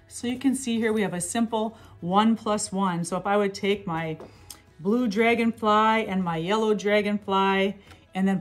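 A middle-aged woman demonstrating educational toys at a table in a small room, explaining each step clearly and cheerfully, with faint music behind her. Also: mid-range voice. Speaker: toy seller demonstrating products. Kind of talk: product demonstration.

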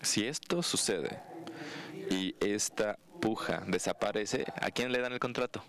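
A man's voice: on the low side, a little slow, a bit bright. A man interpreting a lecture aloud, clause by clause.

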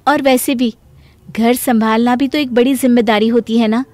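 A simple, gentle young daughter-in-law from an animated family story , acted by a woman. The voice is very high and deferential, with music underneath. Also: normal speed, lightly acted. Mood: earnest.

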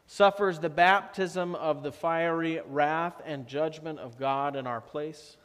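A man in his thirties preaching a sermon as pastor, slow and measured, often dropping to a softer tone for emphasis. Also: a little high, fairly even intonation, clear, resonant tone. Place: church sanctuary. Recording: long church reverb.

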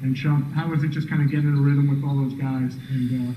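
Muffled roomy sound, man, sports reporter, monotone, somewhat high pitch, casual questions. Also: quick pace, recorded from a distance, slight background noise. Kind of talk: casual spoken press questions.

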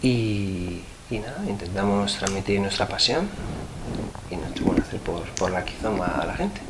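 A man's voice: low-pitched, expressive, moderate pace. A man from Madrid with a Castilian Spanish accent, chatting casually and playfully as a dance teacher being interviewed. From Madrid, Spain. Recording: clear background noise.

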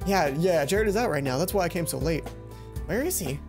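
A man's voice: a little high, lively intonation, fairly quick. Young man reading a visual novel. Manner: playful, self-mocking, stumbles over words. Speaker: let's play streamer. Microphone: headset. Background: game music.

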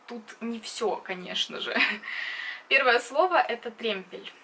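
A young woman in her twenties from Donbass with a Donbass accent, chatting casually to camera in a small wood-panelled room, blunt and quick to laugh. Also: fairly high pitch, lively intonation, sharp tone, slight background noise. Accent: Donbass Russian. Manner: chatty and blunt, laughs often, gestures with hands. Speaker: vlogger. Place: small indoor room with wooden wall.

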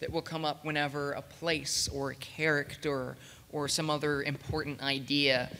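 Male panelist, bright voice, halting explainer, live in an echoing hall. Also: on the high side, flat delivery, gravelly. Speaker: panelist explaining audio production. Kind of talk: unscripted panel talk. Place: large convention hall, panel table. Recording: reverberant hall sound.

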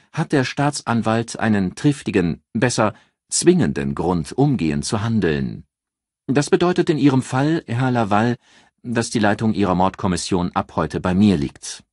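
Man acting a pompous, forceful state prosecutor. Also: on the low side, very animated, no echo, clean studio sound, voice pushed up. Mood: stern, threatening. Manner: pompous, formal, deliberate emphasis. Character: jovial, menacing male state prosecutor, formal and commanding. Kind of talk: audiobook character dialogue.

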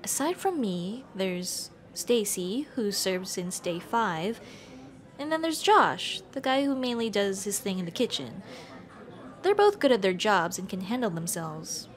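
A woman's voice: medium pitch, big pitch swings, measured pace. A young woman playing an affectionate, playfully bossy café-owner girlfriend in an ASMR roleplay, speaking expressively to the listener as her partner. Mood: weary, earnest. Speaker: roleplay voice actor.

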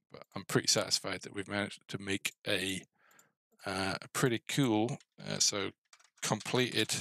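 A man's voice: fairly low pitch, vocal fry, bright, forceful tone, dry recording. A middle-aged man giving casual, mildly grumbling, self-deprecating commentary as he codes a game, on a headset mic. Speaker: game developer.

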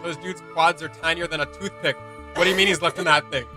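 Young male reaction host, quick slangy chat, music behind. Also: a little high, steady tone. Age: young adult. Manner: slangy, loose conversational delivery. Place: small home room with desk, indoors.

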